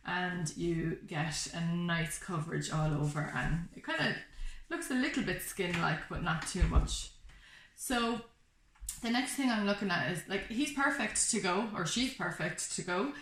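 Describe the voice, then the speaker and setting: A woman's voice: mid-range voice, fairly bright tone. A woman giving a casual, hands-on craft tutorial, explaining each step in an informal, reassuring way. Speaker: craft workshop instructor. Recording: echoey room with background noise, clicks.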